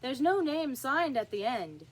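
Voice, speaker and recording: A woman's voice: sits high, very animated, measured pace, pitched above the performer's own voice, exaggerated voice. A woman voicing a male knave on trial in a storybook reading, pleading and insistent. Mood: pleading protest.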